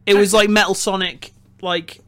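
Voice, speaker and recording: Male podcast co-host with a high, sharp voice, halting and analytical in casual discussion. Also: little pitch movement, clean tone. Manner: halting, rephrasing as he thinks aloud. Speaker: podcast co-host reviewing a film.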